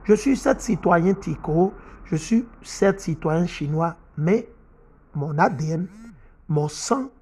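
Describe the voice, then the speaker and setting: A man's voice: on the high side, a little mellow, slightly rough. A middle-aged man preaching and teaching from the Bible in an emphatic way, often repeating himself and asking his listeners if they follow.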